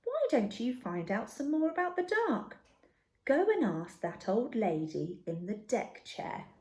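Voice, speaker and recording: A motherly barn owl from a storybook, voiced by a woman, patient but gently bossy. The delivery is encouraging and unrushed, with very animated intonation in an echoey room. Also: on the high side, a little slow. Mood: gently coaxing.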